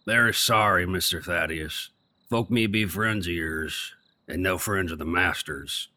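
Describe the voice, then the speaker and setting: A man playing a gruff, stubborn ex-prizefighter gatekeeper in an audio drama, with rough working-class speech and grammar. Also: low voice, a little slow, sharp tone, exaggerated voice.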